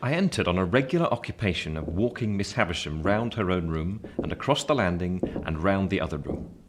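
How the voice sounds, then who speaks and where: A reflective man narrating his boyhood in first person for a radio drama. His voice is fairly low, measured and wry, over faint noise. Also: lively intonation.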